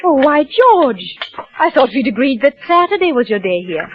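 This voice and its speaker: A woman's voice: high-pitched, very animated, normal speed, slight background noise. An actress playing an uneasy young wife who narrates her story, speaking hesitantly with restarts, in a 1940s radio drama. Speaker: narrator and lead character. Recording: dull lo-fi 1940s radio with music.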